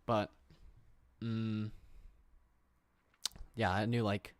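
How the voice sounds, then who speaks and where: A man's voice: fairly low pitch, flat delivery, very slow, smooth, no echo. Rambling young man chatting on stream. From England. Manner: rambling, many fillers and restarts, reads viewer questions aloud. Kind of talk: casual livestream chat. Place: room.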